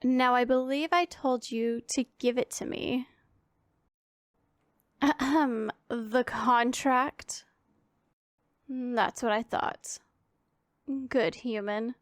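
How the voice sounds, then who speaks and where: Casting: actress as a commanding demon woman for ASMR roleplay, stern but secretly kind, measured slow pace, dry recording. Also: on the high side, dry recording. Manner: authoritative, formal, imperious diction. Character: stern, commanding yet secretly caring powerful female demon. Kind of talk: scripted ASMR roleplay addressed to the listener.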